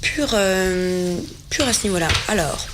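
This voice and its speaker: A woman's voice: lowish voice, measured pace, music in the background. Chatty young Frenchwoman tasting food. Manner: chatty, enthusiastic, many hesitations. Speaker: co-host of a food segment. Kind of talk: casual tasting chat on a show set.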